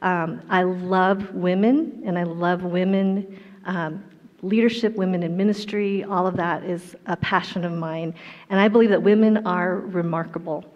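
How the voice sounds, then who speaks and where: A woman's voice: fairly low pitch, fairly even intonation, a little slow. Middle-aged female speaker, testimony talk, long hall reverb, muffled lo-fi sound. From Colorado, USA. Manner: warm storytelling, self-deprecating humor. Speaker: guest speaker. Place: large indoor hall stage. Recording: dull, echoey hall sound.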